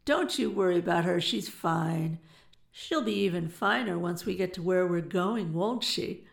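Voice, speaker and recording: Woman putting on a loud, gleeful male rogue. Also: on the low side, unhurried, vocal fry. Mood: taunting. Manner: chortling, howling, folksy taunting. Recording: roomy echo.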